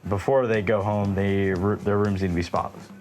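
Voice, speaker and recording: A man's voice: on the low side, fairly even intonation, normal speed. A teenage young man who leads fellow cadets at a military academy, talking casually in an interview. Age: teens. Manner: casual, loose with fillers. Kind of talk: documentary interview. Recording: music bed under interview. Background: music.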